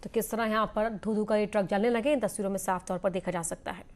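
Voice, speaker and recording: A woman's voice: mid-range voice, steady tone, fast. A woman news anchor reading a scripted news bulletin.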